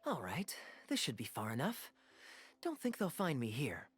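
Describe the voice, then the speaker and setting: An actor playing a suave young male adventurer in a video game, with a smooth, teasing, theatrical delivery. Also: mid-range voice, wildly swooping pitch, normal speed. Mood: relaxed, playful.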